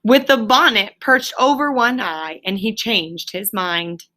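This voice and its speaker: A woman reading a children's story aloud like a teacher, with emphatic, deliberate phrasing and explanatory asides. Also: mid-range voice, sharp tone.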